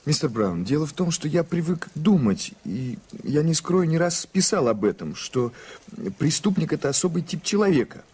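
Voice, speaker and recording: Earnest doubting journalist in an old radio play, formal, bit hesitant, husky voice. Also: male voice, on the high side, sing-song, moderate pace, darkish tone. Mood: earnest, protesting. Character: earnest, polite, doubting American journalist, a man. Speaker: radio drama actor. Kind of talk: 1980s radio play acting.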